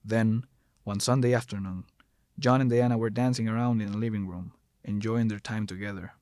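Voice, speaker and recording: A man's voice: fairly low pitch, fairly even intonation, fairly quick, darkish tone, clear, resonant tone, no echo. A man narrating a scripted horror story as the narrator, recorded close to the mic.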